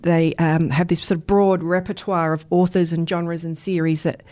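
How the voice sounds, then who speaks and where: A woman's voice: lowish voice, little pitch movement, normal speed, darkish tone. A woman hosting a conversational podcast interview, speaking in an earnest, considered way. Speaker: podcast host, reading educator.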